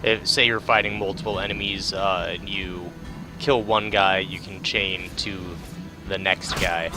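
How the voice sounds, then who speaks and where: A man with a low voice gives casual let's play commentary. He is laid-back and thinks aloud, with game music clearly behind him. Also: little pitch movement, a little slow.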